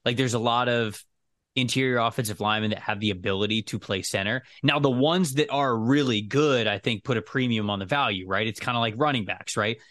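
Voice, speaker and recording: A man's voice: medium pitch, fairly quick, a bit bright, no room sound, studio quality. A man co-hosting a sports podcast, talking through football analysis casually and explaining his points with careful clarifications.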